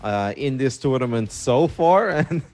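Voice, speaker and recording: A man hosting a poker broadcast, briskly introducing players and handing off to his co-host. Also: mid-range voice, animated, darkish tone, soft background music.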